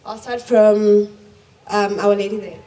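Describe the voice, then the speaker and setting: A woman chairing a conference panel, hesitant and filling pauses with ums. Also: mid-range voice, steady tone, warm tone. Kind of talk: conference moderation. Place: conference room. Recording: distant mic, faint room noise.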